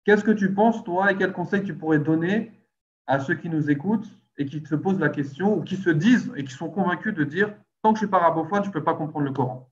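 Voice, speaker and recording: A man's voice: a little high, fairly quick, dull audio. A man hosting a casual conversation, explaining things plainly and then putting questions to his guest. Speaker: host. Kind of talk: casual chat.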